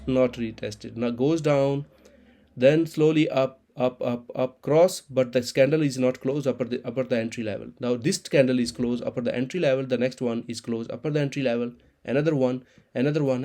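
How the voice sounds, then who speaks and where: A man in his thirties, an Urdu speaker, explaining a trading chart in halting with many restarts. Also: mid-range voice, warm tone. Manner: halting non-native English, frequent restarts and repeated words. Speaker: crypto trading channel host.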